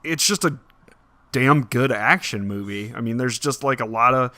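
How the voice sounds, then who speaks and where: A man's voice: mid-range voice, moderate pace, bright, forceful tone, dry recording. Casual male podcaster, dry close sound. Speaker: podcast host. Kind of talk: casual movie discussion podcast.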